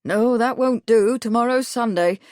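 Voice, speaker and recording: A woman voicing a calm, resigned male village constable who speaks steadily and firmly. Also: on the high side, moderate pace, dry recording, clean studio sound, voice pushed up, lightly acted. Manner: steady, firm.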